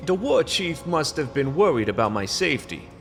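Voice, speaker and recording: A man's voice: a little high, sing-song, moderate pace, gravelly, faint music behind. Man playing a brooding, wry goblin noir detective. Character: world-weary goblin male noir detective, semi-retired. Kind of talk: hardboiled radio play narration and dialogue.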